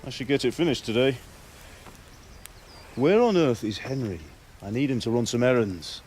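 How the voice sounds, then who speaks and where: A man playing a gruff joking blacksmith father. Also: mid-range voice, animated, normal speed, darkish tone, soft background music, natural voice. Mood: gruff teasing. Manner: blunt, teasing, bossy. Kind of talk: video game cutscene acting.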